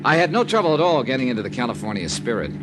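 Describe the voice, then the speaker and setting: A man playing a brisk, wry insurance investigator in 1950s radio detective style, with crisp, punchy delivery. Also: medium pitch, very animated, noisy background, lightly acted. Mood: brisk narrating. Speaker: radio drama lead and narrator. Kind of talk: 1950s radio detective acting, first-person narration. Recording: old radio recording with music.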